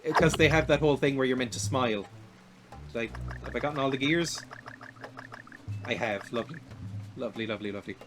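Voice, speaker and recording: A young man in his twenties with a light, naturally high voice, rambling and laughing at himself while he streams a video game. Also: brisk, long pauses, music in the background. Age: 20s. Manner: rambling and self-deprecating, laughs often. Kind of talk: casual chat while playing a video game.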